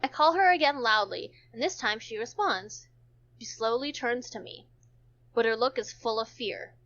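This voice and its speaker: A woman with a fairly high voice narrates a visual novel as an amateur reader. She now and then trips on a word and goes back over it. The sound is dull and lo-fi. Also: expressive, moderate pace, fairly bright tone. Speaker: narrator in a group read-along.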